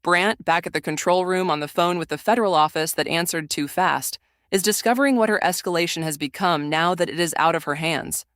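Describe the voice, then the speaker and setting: A narrator reading an audio drama in a measured, literary manner. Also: mid-range voice, fairly bright tone, dry recording, clean studio sound.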